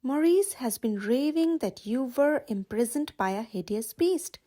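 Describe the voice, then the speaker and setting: Scornful village henchman read by a woman, high. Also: animated, a little slow, dark tone. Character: accusing male villager, storybook henchman.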